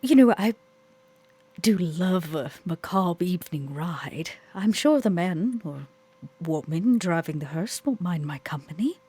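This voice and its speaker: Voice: medium pitch, lively intonation, measured pace, warm tone, husky. A player voicing an elegant, commanding vampire lady with a theatrical, formal manner in a tabletop game. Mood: grand, droll.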